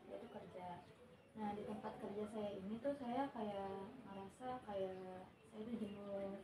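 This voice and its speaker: A woman's voice: mid-range voice, fairly even intonation, unhurried, a crowd in the background. A young woman playing a client in a counseling role-play, talking casually and rambling with fillers. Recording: echoey room, distant mic, noise.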